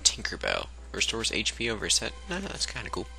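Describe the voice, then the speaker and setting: A man's voice: on the low side, huge pitch swings, fast, bright, forceful tone. A man giving casual let's play commentary, muttering and thinking aloud. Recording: game music clearly behind.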